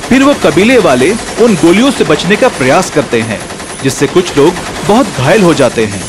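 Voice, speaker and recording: A man's voice: a little high, big pitch swings, moderate pace, background noise. A man narrating a scripted story as a storyteller.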